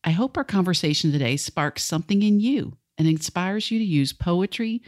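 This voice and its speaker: A woman's voice: lowish voice, moderate pace, darkish tone. A woman hosting a podcast, asking questions in an upbeat, friendly interviewing manner. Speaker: podcast host.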